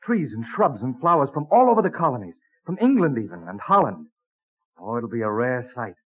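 Eager young Quaker husband, animated, tinny radio. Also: male voice, medium pitch, a little fast, husky. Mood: excited, dreamy. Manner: earnest, enthusiastic, theatrical delivery with archaic thee and thy. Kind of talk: mid-century American radio drama acting. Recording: tinny, band-limited vintage radio recording.

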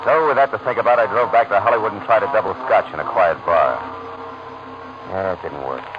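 A man's voice: lowish voice, brisk, background noise, lightly acted. An actor playing a weary, wry, hard-boiled male private detective in an old radio noir drama. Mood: brooding, wry. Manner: terse, wry, tough. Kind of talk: 1940s-50s radio noir narration and acting. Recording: old muffled radio recording, loud music.

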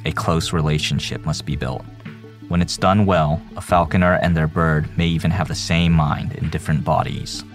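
A man reading scripted documentary narration as a storyteller, in a measured manner. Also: extremely low pitch, steady tone. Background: soft background music.

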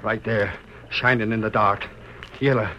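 A man's voice: on the low side, fairly even intonation, unhurried, highs cut off, natural voice. Man playing an uneasy western outlaw, noisy recording. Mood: tense, fearful. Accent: American Western. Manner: needling, wary. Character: uneasy, sharp-tongued outlaw sidekick in the Old West. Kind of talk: old-time radio western acting. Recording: noisy old radio recording.

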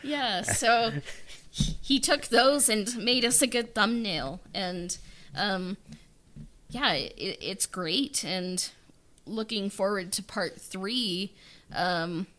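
A woman co-hosting a podcast, chatting casually and haltingly as she thinks aloud in fragments. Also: mid-range voice, a bit bright. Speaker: podcast co-host. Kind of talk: casual behind-the-scenes podcast chat.

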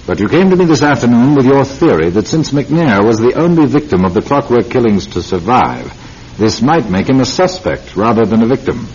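An actor playing a stern, commanding senior police officer with a clipped, formal manner in a radio drama. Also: male voice, mid-range voice, big pitch swings, a little fast, darkish tone, husky. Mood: explanatory. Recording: muffled, noisy radio recording.

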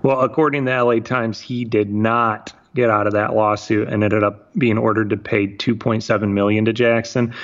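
A man from Michigan hosting a talk show, telling a story in measured narration and turning blunt at times. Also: lowish voice, little pitch movement, dull audio. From Michigan, USA. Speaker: podcast host. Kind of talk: scripted narration mixed with casual chat.

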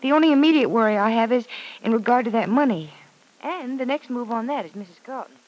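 An actress in an old-time radio drama playing a troubled, principled working woman, earnest and gently firm. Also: a little high, animated, fast talker, rough recording. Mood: earnest, resigned.

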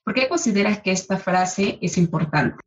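A woman's voice: medium pitch, expressive, normal speed. Effusive woman podcast host, lo-fi. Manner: warm, effusive, emphatic praise. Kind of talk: podcast interview, scripted intro.

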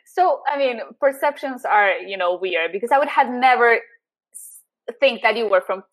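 A woman's voice: a little high, animated, normal speed, fairly bright tone, poor audio. A woman hosting a casual podcast interview, friendly and encouraging, laughing often. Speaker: podcast host.

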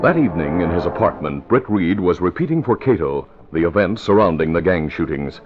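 A low male voice on a dull old radio recording, with faint music. He narrates a serial from a script, formally and with drama. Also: sing-song, brisk. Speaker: narrator and announcer.

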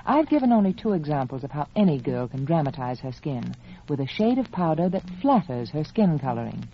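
Low, lively 1940s radio saleswoman. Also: brisk. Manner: confiding, persuasive, emphatic. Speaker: cosmetics spokeswoman. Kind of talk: 1940s radio advertisement, scripted sales talk. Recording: muffled, faintly noisy vintage radio recording.

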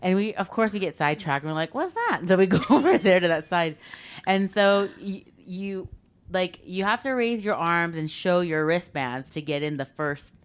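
A young woman from California with a Californian accent, telling stories in a casual, animated podcast chat full of "like". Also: lowish voice, normal speed, smooth. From Southern California. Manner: animated storyteller, lots of "like", laughing. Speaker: podcast co-host.

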